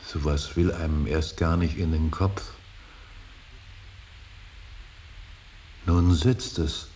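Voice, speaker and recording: Old grieving painter guy, super low voice, slow and heavy, solemn radio play, bit of hiss. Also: warm tone, full character voice. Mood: broken, resigned. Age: older. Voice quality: very deep, gravelly. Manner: halting, weighty, brooding, breaking into outbursts. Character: grieving old painter, stern, brooding, bitterly devout father. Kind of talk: slow, solemn German stage-style radio drama acting. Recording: hissy radio play recording.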